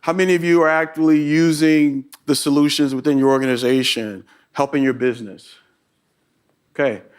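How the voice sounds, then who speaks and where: A middle-aged man with a Texas accent giving a tech conference talk to a live audience. He keeps the room engaged, often tagging his points with a quick "right?". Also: on the high side, steady tone, clear, resonant tone. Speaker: conference presenter.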